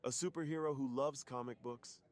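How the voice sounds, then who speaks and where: A man playing a secretive, polite undercover superhero who is shyly smitten, speaking earnestly and softly in an intimate ASMR roleplay. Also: medium pitch, steady tone, brisk, lightly acted. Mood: apologetic, friendly.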